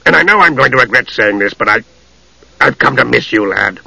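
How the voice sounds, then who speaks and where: A man voicing a gruff, irritable old dwarf with a rough voice, in a fantasy story reading. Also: mid-range voice, expressive, fairly quick, sharp tone, dry recording, exaggerated voice, rough recording. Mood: awkward, reluctant affection. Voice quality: gravelly, rough. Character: gruff, grumbling old male dwarf with a soft heart.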